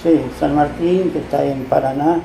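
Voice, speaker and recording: Older man, mellow gravelly voice. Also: fairly high pitch, fairly even intonation, moderate pace, a little hiss or hum. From born in Slovenia, moved to Argentina at ten. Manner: rambling, with frequent tag questions. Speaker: interviewed artist. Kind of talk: casual personal storytelling in an interview. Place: book-lined indoor room, seated. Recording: echoey room pickup.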